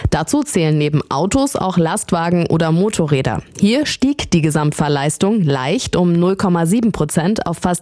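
Female radio newsreader with a lowish voice, measured delivery, music bed behind. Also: darkish tone. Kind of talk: scripted radio news reading.

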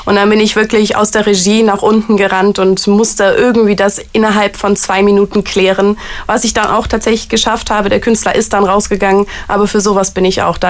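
A woman answers interview questions about her job. She is chatty and eager, and her speech is loose and full of fillers. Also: mid-range voice. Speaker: artist liaison at a variety theater.

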